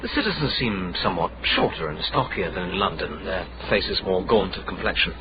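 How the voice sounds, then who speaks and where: An actor playing a smooth, probing gentleman with scholarly pretensions in a radio drama. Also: sits low, expressive, brisk. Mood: suave, probing. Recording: muffled radio drama, clear noise.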